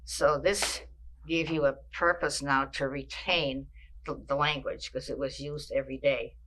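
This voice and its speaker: An older woman from Massachusetts, recalling her childhood in an oral history interview, with a measured pace and frequent pauses. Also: very deep voice, steady tone, fairly bright tone, low-quality recording.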